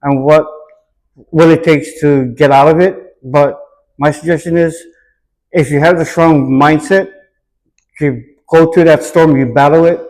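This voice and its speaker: A man with a slightly high voice gives reflective interview answers. He speaks earnestly and deliberately, often echoing a question before he answers it. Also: fairly even intonation, darkish tone. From Hawaii, United States.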